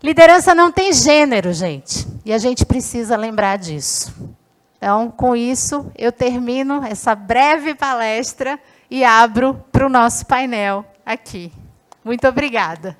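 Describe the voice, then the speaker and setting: A woman's voice: on the high side, a little slow. A woman giving a short talk and then moderating a panel, speaking emphatically. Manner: emphatic, lively.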